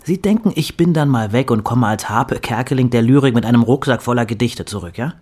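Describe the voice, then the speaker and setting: A man's voice: medium pitch, brisk, darkish tone, lightly acted. Radio drama: actor as sardonic, worn-out male poet, dry delivery, grumpy and defeatist. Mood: bitter, defiant. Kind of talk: German radio play acting.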